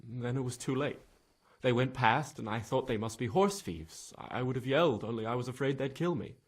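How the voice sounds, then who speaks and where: A frightened young stable lad from a video game drama, played by a man. His voice is husky and mid-pitched. He stammers and halts nervously, sounding guilt-ridden as he gives his account. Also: brisk, close to an everyday voice. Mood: shaken, haunted. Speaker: video game character, witness being questioned. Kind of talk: dramatic game cutscene acting.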